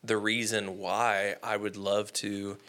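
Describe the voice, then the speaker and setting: Man in his thirties, lowish but bright forceful voice, animated, reflective, host. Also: takes it slowly, smooth. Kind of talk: casual conversation.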